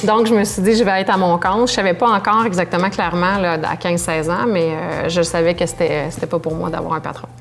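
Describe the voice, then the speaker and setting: A woman's voice: on the low side, a little fast, gravelly, hardly any pauses, faint music behind. A middle-aged woman with a Québécois French accent, talking candidly as an interview guest and filling her speech with lots of fillers. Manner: lively, candid, with frequent fillers. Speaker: business owner, interview guest. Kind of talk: conversational interview.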